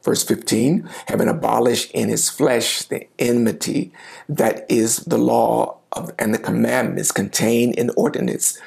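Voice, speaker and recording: A middle-aged man, a pastor, preaching a sermon to the camera from a home office, reading scripture and explaining it with lively gestures. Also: mid-range voice, unhurried, gravelly. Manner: animated gestures, reading and explaining scripture, occasional quiet asides. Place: home office with bookshelves, indoors. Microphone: lapel mic, seated at desk.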